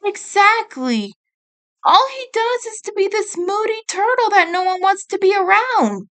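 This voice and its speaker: Woman voicing a high, whiny young turtle boy. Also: animated, unhurried, fairly bright tone, full character voice. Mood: whiny, stressed. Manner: whining, stubborn, sulky. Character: upset, tearful, whiny young teenage boy turtle ninja.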